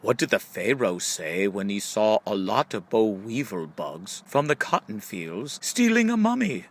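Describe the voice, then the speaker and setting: A man's voice: on the low side, sing-song. A man reading a scripted book of riddles, setting up each question and drawing out the punchline. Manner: question-and-answer delivery with drawn-out punchlines. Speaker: audiobook reader.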